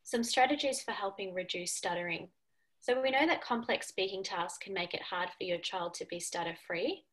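A woman in her twenties giving a scripted presentation in a measured, explanatory way over a video call. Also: medium pitch, fairly bright tone, low-quality recording. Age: 20s. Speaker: student presenter. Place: small furnished room at home, indoors. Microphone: video call on a computer.

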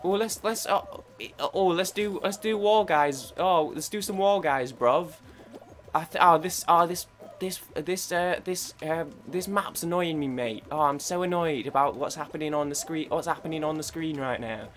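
A man's voice: on the high side, normal speed, fairly bright tone, pitched above the performer's own voice, lightly acted. A young man putting on an exaggerated British accent to play a cheerful lad who grumbles about the game. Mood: mock annoyed. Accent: put-on British. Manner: exaggerated, starts lines with "oh" and "hey".

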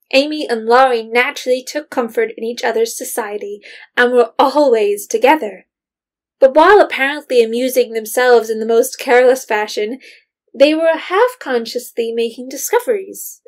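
A woman narrating a period audio drama in a measured, literary storytelling manner. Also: fairly high pitch, close to an everyday voice.

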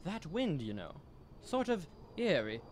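A man's voice: on the high side, huge pitch swings, a little slow, a little hiss or hum. A young man playing Mister Bell, a nervous, hesitant guest in a radio drama. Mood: nervous, uneasy. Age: young adult. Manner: hesitant, uneasy. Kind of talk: 1930s-style radio drama acting.

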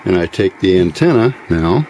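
A man giving a casual hands-on demonstration of homemade gear in an unhurried, halting, explanatory way. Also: low-pitched, lively intonation, warm tone, rough recording. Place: small indoor workbench by a window. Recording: faint background noise.

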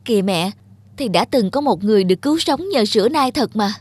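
An actress playing a dutiful, caring young peasant wife in an animated folk tale, earnest and respectful. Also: female voice, mid-range voice, a little fast, slightly rough. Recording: music behind the dialogue.

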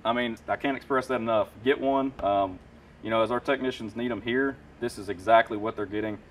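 A man's voice: mid-range voice, steady tone, brisk, recorded from a distance, a little hiss or hum. A man in his thirties, an HVAC technician, explaining tools in casual, enthusiastic shop talk with lots of fillers. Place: warehouse parts room with shelves.

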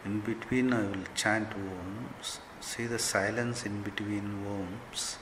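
A man leading a guided meditation as a spiritual teacher, giving gentle instructions in short, measured phrases. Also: fairly low pitch, fairly even intonation. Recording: long hall reverb, faint background noise.

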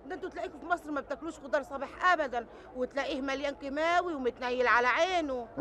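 An actress with a rural Egyptian accent playing a village grocer's daughter who coaxes insistently. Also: female voice, high-pitched, racing, sharp tone. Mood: coaxing. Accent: rural Egyptian Arabic. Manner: coaxing, rustic, insistent.

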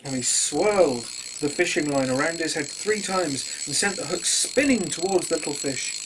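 Expressive, highly animated male storyteller. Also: normal speed, background noise. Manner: expressive, simple address to children. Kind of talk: children's storybook reading.

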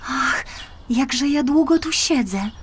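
Anxious young fairy-tale girl, played by a woman narrator: high, earnest, emphatic, wondering. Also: expressive, slow pace, a little hiss or hum. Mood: anxious, pleading. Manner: pleading, wondering, raised and emphatic.

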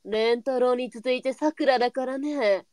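A woman's voice: sits high, unhurried, a little mellow, dry recording, clean studio sound. A woman playing a pragmatic, brusque brothel mistress in a live period voice drama, with a blunt and knowing way of talking. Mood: grave. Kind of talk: online live voice drama acting.

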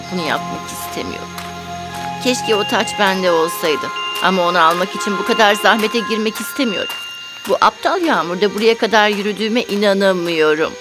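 A woman playing a spoiled, rude young stepsister who complains petulantly in an animated fairy tale. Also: medium pitch, close to an everyday voice.

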